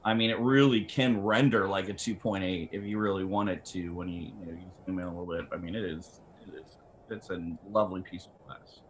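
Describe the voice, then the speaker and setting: A man's voice: deep voice, fairly even intonation, fairly quick. A man giving casual, conversational expert advice as a guest on a video call. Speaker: camera company representative, guest expert. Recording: slightly noisy video call.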